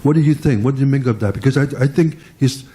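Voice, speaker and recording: An older man speaks up from the audience with a long question. He pauses and hunts for each word, and his voice stays warm and mellow throughout. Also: medium pitch. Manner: halting, searching for words. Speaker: audience member asking a question. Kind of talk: question from the floor.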